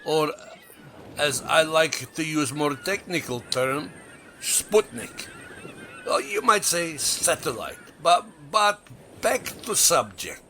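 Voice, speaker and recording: A man playing an earnest foreign cosmonaut castaway in a comedy audio drama, speaking halting, broken English. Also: on the high side, animated, sharp tone, a little hiss or hum, exaggerated voice. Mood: earnest, puzzled.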